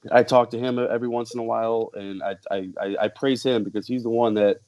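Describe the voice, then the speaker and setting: A man's voice: medium pitch, fairly even intonation, quick pace, darkish tone, rough recording. A man in his thirties who makes masks, talking hesitantly in a casual group chat, stammering and self-deprecating. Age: 30s. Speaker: mask maker guest.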